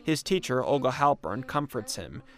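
A man reporting for public radio, reading a scripted news feature in a measured, even storytelling manner. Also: medium pitch, vocal fry, faint music behind.

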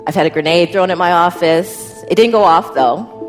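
A woman's voice: on the low side, normal speed, soft background music. A woman with an American accent giving an earnest first-person account as a guest speaker. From United States, Midwest.

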